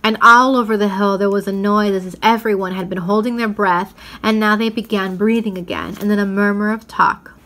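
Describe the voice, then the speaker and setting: A woman's voice: mid-range voice, fairly even intonation, fairly quick, hardly any pauses, fairly bright tone. A woman narrating a children's story as a storyteller, reading expressively from the text.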